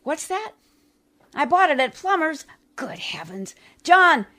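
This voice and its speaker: A woman acts out a flustered housewife from a 1940s radio comedy. Her voice sits fairly high and moves in lively swoops. She sounds plaintive and melodramatic. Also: slow pace, exaggerated voice.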